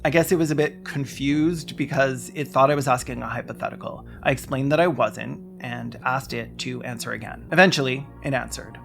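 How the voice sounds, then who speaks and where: Video essay host, medium pitch, sarcastic and dry-witted scripted narration, light music underneath. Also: brisk, creaky, hardly any pauses. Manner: sarcastic, wry deadpan jokes. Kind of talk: scripted narration with comic asides.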